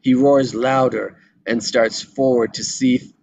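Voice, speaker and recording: A man's voice: medium pitch, steady tone, takes it slowly, creaky, a little mellow, a little hiss or hum. A man reading scripted action descriptions as narrator at a screenplay table read.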